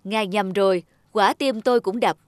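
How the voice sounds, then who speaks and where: Woman playing a calm, firm god. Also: medium pitch, normal speed, no echo, lightly acted. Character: composed, self-mastered god of calm. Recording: music under the voice.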